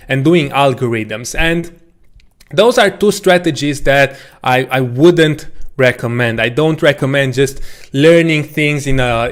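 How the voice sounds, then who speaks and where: A man whose first language is Romanian, telling a casual personal story to camera as a coding educator, with a relaxed, self-deprecating manner. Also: mid-range voice. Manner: relaxed, self-deprecating, frequent restarts and small laughs.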